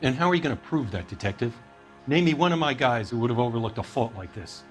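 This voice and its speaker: Male actor as harried business boss for a game: mid-pitched, matter-of-fact, defensive, faint music. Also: lively intonation, fast talker, natural voice. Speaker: heater company manager. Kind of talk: video game dramatic acting.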